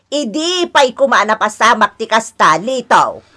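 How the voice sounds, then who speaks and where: A woman's voice: on the high side, very animated, a little slow, bright, forceful tone, full character voice. An actress with an Ilocano accent playing a domineering, quarrelsome mother-in-law who scolds in an exaggerated radio-drama style. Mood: scolding, insistent. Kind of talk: Ilocano comic-melodrama radio acting.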